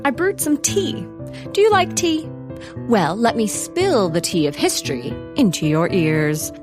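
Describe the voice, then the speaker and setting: A woman's voice: mid-range voice, very animated, measured pace. A woman playing a welcoming, playful narrator, giving theatrical scripted narration for an audio drama. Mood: inviting, playful. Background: music.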